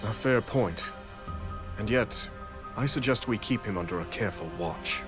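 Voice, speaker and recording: A man's voice: lowish voice, moderate pace, over music, close to an everyday voice. A man playing a solemn, gracious and cautious king in a video game, speaking in a formal, stately way. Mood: wary. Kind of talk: video game cutscene acting.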